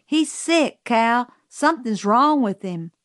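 A woman's voice: on the high side, expressive, slow pace, no room sound, studio quality. A woman voicing an earnest, insistent Southern boy in an audiobook, plain-spoken, with a Southern US accent. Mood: earnest, worried. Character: earnest Southern boy, older brother, worried and stubborn. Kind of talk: audiobook character voice.

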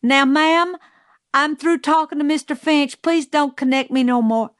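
A woman's voice: fairly high pitch, measured pace, no echo, studio quality, lightly acted. A woman voicing a brusque, commanding Southern housekeeper in an audiobook, with emphatic delivery and a Southern US accent. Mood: urgent, alarmed. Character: forceful, no-nonsense Southern housekeeper woman, exasperated then urgent. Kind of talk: audiobook character voice.

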